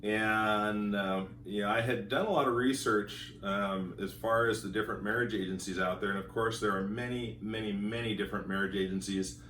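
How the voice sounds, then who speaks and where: Deep-voiced man, halting and roomy. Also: fairly even intonation, a bit bright, slight background noise. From Montana, United States. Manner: halting, many fillers and repeated words. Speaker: school teacher sharing his marriage story. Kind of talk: casual testimonial. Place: home in California. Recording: roomy echo, voice from a distance.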